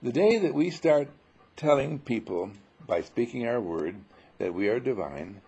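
A man giving an informal spiritual sermon full of rhetorical questions, emphatic and dramatic, with a husky, gravelly voice. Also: medium pitch, big pitch swings, unhurried, mellow. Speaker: spiritual teacher.

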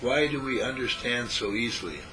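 A man giving a talk through an interpreter, speaking in short, deliberate phrases and pausing for translation. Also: medium pitch, bright, forceful tone, low-quality recording. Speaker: speaker or teacher.

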